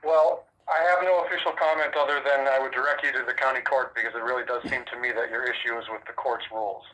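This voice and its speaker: Male official, American, bright forceful tone over a phone line, measured and firm, formally fielding press questions. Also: medium pitch, steady tone. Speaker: sheriff's office representative. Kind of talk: formal answers to a press query.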